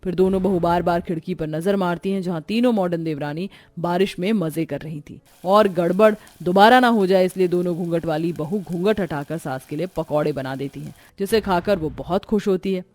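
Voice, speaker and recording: A woman's voice: fairly low pitch, brisk, few pauses, darkish tone, dry recording. A woman narrator reading a scripted story as a storyteller.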